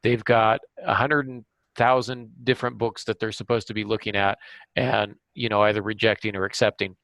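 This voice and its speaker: A man's voice: on the low side, little pitch movement, normal speed, gravelly, fairly bright tone, no echo, low-quality recording. A man hosting a podcast, summarizing and explaining in a conversational interview style. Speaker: podcast host.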